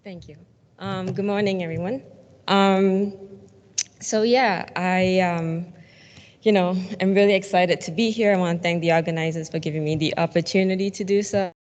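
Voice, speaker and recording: Woman from Singapore, lowish voice, conference talk, long hall reverb. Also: steady tone, moderate pace, darkish tone, muffled recording. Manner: casual with frequent fillers. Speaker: conference speaker, plant biology researcher.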